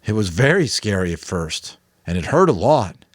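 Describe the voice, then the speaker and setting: A man's voice: on the low side, very animated, no echo, clean studio sound. A man voicing a weary but firm old mentor, grave, caring and earnest, in a dramatic audiobook reading. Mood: urgent, earnest. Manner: earnest, emphatic, deliberate.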